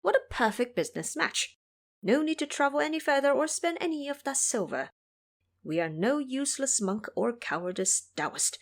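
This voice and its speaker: A boastful monkey monk, played by a woman, struts through an audio drama. The voice is raised and swings widely in pitch, brash and cheeky. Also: lively intonation, normal speed. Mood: confident pitching. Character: cocky hideous monkey monk, brash monster-catcher.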